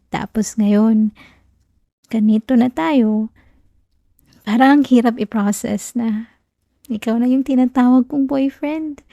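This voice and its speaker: A woman playing a shy, flustered young girlfriend in an intimate ASMR roleplay, speaking softly and teasingly with a Filipino accent. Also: fairly high pitch, gravelly, dry recording, studio quality, exaggerated voice. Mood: shy, affectionate. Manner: soft, intimate, bashful, playfully whiny. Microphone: close, dry ASMR microphone.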